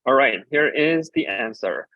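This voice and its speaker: Halting male speaker, English not native. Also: mid-range voice, expressive, dry recording, muffled recording. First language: Korean. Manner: halting, with frequent filler pauses while explaining. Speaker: designer and ceramicist giving a talk. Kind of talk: conference presentation about slides.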